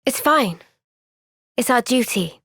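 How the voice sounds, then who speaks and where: A young female warrior from a video game cutscene. Her voice sits fairly high and is recorded very dry and close. She takes her time over her words, sounding earnest, caring and thoughtful. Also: lively intonation, slow pace, fairly bright tone, slightly rough, no echo, full character voice. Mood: earnest, tender.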